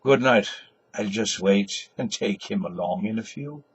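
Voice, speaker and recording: A man's voice: on the low side, unhurried, muffled recording, a little hiss or hum, natural voice. An older man voicing an anxious, solicitous man minding a friend, in a dramatic novel reading with a raised, hesitant voice. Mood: anxious, obliging.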